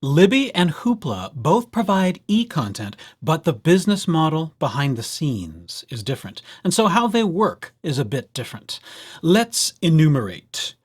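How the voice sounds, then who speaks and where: A man's voice: on the high side, big pitch swings, normal speed. A man presenting a casual, jokey library tutorial, speaking straight to viewers and playing out little imagined exchanges. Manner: jokey, playful, addressing viewers directly. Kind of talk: casual scripted tutorial narration.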